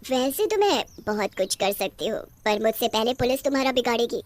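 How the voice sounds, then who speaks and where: A woman voicing a vengeful, gloating witch in a cartoon horror story, taunting her captives with drawn-out threats. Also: a little high, sing-song. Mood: gloating, threatening. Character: vengeful, gloating female witch who runs a factory.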